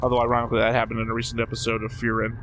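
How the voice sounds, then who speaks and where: A man's voice: medium pitch, completely flat delivery, very fast, fairly bright tone, faint music behind. A man commenting casually on a show as a reaction host, in a wry, joking way.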